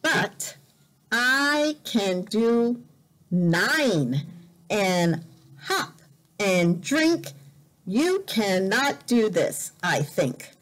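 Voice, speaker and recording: A woman teacher reading a picture book aloud as a lesson for young learners, deliberate and expressive, repeating words carefully. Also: medium pitch. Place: indoor room, at a desk.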